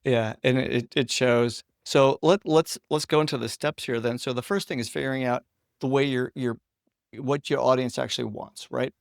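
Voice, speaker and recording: Creaky-voiced man interviewing. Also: medium pitch, steady tone, moderate pace, no room sound, clean studio sound. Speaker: podcast interviewer. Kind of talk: conversational interviewing.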